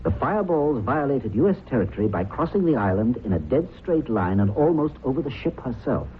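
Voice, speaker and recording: A man's voice: medium pitch, sing-song, a little fast, close to an everyday voice. Man acting keen radio reporter, tinny old recording. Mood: brisk, informative. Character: keen, curious male broadcast reporter and husband. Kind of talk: vintage radio drama acting. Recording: noisy, narrow-band old radio recording.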